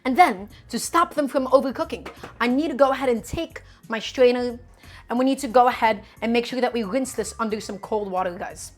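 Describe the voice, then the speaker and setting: A woman's voice: fairly high pitch, brisk, soft background music. A young woman hosting a live cooking stream. She talks to her viewers in a chatty, upbeat way, often calling them "guys" and hyping them up. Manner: upbeat, hypes up the audience.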